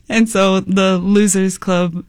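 A woman's voice: mid-range voice, fairly even intonation, slow, a little mellow, no room sound. A young woman with an American accent chatting casually as a musician guest in an interview, giggly and informal. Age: young adult. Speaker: musician guest, singer and rapper.

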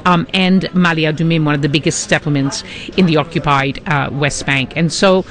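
A man giving live news analysis as a correspondent, measured and fluent, with frequent restarts. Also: a little high, steady tone, fairly bright tone.

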